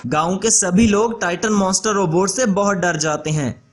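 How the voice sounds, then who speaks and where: A man's voice: high-pitched, moderate pace. A man reading scripted narration for a children's story as the storyteller.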